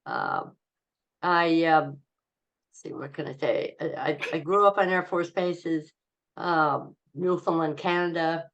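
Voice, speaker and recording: An older woman, a comedian, telling stories from her life in a rambling, halting way as an interview guest, laughing often. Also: low-pitched, sing-song, gravelly, fairly bright tone, highs cut off. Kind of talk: casual interview storytelling.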